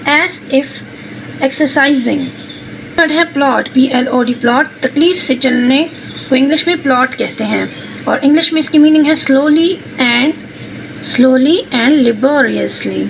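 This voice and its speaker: High, creaky-voiced Pakistani woman teaching. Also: rough recording. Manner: switches between Urdu explanation and slowly read English phrases. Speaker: teacher explaining textbook exercises. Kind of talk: instructional exercise walkthrough, spelling out words. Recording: noticeable background noise.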